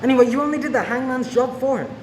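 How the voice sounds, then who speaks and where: A man's voice: extremely high pitch, quick pace. A man playing a protective, persuasive police sergeant in love in a radio play. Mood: urgent, pleading. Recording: echoey room with faint noise.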